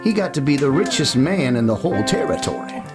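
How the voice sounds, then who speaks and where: A man's voice: mid-range voice, big pitch swings, fairly quick. A man playing an easygoing, kindly Texas cowboy with a hesitant, rambling folksy manner in a Western radio play. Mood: folksy, reminiscing. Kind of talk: old-time Western radio play acting. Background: plucked guitar music.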